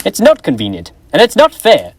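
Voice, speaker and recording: A man's voice: high voice, huge pitch swings, normal speed, breathy edge, heavily acted. Young guy doing a grouchy stingy old man, school play, lots of swings in his voice. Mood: grumpy. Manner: snapping, scolding outbursts. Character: miserly, grumpy old man who later turns fearful. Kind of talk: school stage play acting.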